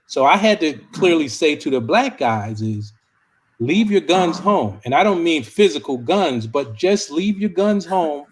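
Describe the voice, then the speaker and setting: Male panelist, fairly high voice, earnest conversational delivery, deliberate and emphatic. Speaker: discussion panelist.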